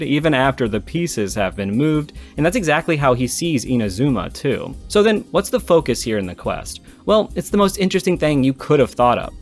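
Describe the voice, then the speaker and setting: Irreverent man narrating over music. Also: mid-range voice. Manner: irreverent, profane, jokey. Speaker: video game story analyst. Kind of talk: scripted video essay narration with casual asides. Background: background music.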